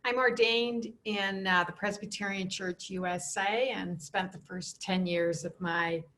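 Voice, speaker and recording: An older woman from Canada, a professor and program director introducing herself on a video call, speaking earnestly and in measured lists. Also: medium pitch, fairly even intonation, a bit bright. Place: home room, plain wall. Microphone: headset mic.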